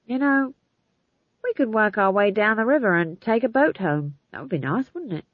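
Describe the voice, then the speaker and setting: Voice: mid-range voice, animated, moderate pace, dry recording, phone-quality sound, pitched above the performer's own voice, lightly acted. A narrator voicing an easygoing male playwright in a storybook, evasive and innocently hopeful. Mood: hopeful, coaxing. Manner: hesitant, evasive, agreeable.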